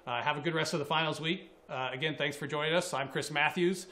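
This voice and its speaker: A man's voice: on the high side, steady tone, fast talker, bright, forceful tone. A man hosting a college sports interview, asking questions in a friendly, conversational way with fillers. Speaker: interviewer host.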